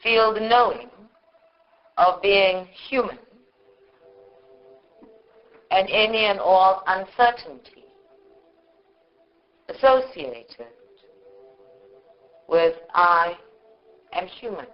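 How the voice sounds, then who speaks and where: Woman, low-pitched voice, very slow measured phrasing, long pauses, meditation guide, dull recording. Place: live group meditation session.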